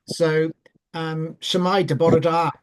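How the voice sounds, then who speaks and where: Man in his sixties, higher-pitched. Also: little pitch movement, clean tone, rough recording. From Wales. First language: Welsh. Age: 60s. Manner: measured, with frequent ums and self-repeats. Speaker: forum guest speaker. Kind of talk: online forum talk, telling a personal story.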